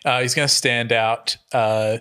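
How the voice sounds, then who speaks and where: A man's voice: mid-range voice, fairly even intonation, a little slow, sharp tone, clean tone. A man playing a tabletop game, casually improvising what his character does in a hesitant, rambling, amused way. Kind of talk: casual improvised roleplay chat.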